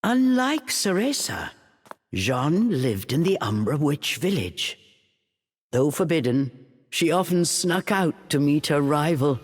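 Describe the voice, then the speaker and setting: A woman narrating a fairytale-like video game story in a measured, storybook manner. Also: low-pitched, big pitch swings.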